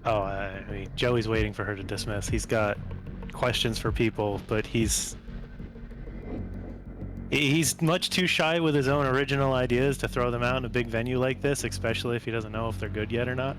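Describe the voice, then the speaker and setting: A man's voice: medium pitch, fairly even intonation, moderate pace, over music. A man playing a tabletop game, casually explaining what his character thinks in the third person.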